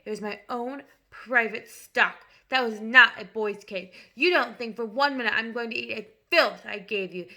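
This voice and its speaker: A woman voicing a bullying female headmistress, barking and bellowing insults in a slow, loud voice. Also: fairly high pitch.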